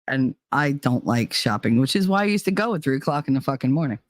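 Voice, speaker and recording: A woman's voice: extremely low pitch, expressive, fast talker, dry recording. Middle-aged American woman, casual live-chat host. Blunt, teasing, sweary delivery, recorded dry. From New Jersey, United States. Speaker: live talk show host.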